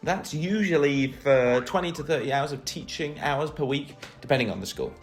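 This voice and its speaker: A man's voice: a little high, moderate pace, soft background music. Wry man co-hosting a casual chat. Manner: wry, playful asides. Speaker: co-host.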